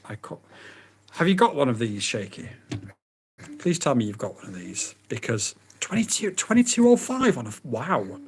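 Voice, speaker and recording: A man with a croaky, hoarse voice, hosting a casual livestream and chatting excitably with viewers. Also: medium pitch. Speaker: livestream host.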